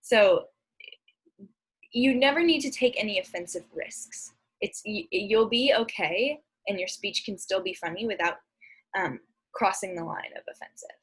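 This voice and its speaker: A woman's voice: medium pitch, a little slow, breathy edge, poor audio. A young adult woman giving an informal instructional presentation in a casual, conversational way, recorded indoors on a laptop microphone. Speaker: speech and debate presenter. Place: indoor room at home, on a video recording.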